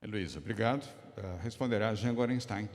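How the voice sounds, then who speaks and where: Man, moderator, deep voice, courteous, brisk, hall reverb. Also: gravelly, a little mellow. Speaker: press conference moderator. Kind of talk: moderating questions.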